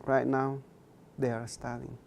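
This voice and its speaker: A man's voice: medium pitch, completely flat delivery, a little slow, gravelly, darkish tone, a little hiss or hum. A young man, a Mayan speaker talking earnestly as an interview guest, repeating words for emphasis. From Guatemala. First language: K'iche' Mayan. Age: young adult.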